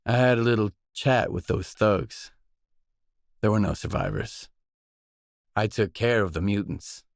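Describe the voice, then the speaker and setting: Casting: pragmatic wasteland mercenary in a video game; lowish voice, dry close recording, restrained and matter-of-fact. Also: male voice, measured pace, vocal fry, no room sound. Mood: businesslike, matter-of-fact. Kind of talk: video game character dialogue.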